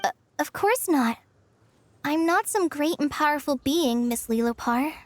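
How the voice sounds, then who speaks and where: An actress playing a gentle, humble young woman in a video game, speaking in an earnest, polite and hesitant way. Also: high-pitched, expressive, measured pace, slight background noise.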